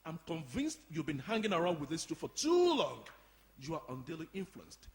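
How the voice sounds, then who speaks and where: A man's voice: on the high side, sing-song, moderate pace. A man playing an officious, indignant caretaker who lays down orders in a pompous, commanding way in a live radio play. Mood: stern. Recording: echoey hall, live performance.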